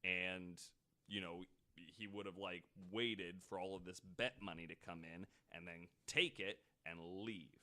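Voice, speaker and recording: A man's voice: low voice, steady tone, a little slow, pushed and bright. A man hosting a podcast, telling a true-crime story in a casual, conversational way with the odd swear word. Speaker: podcast host.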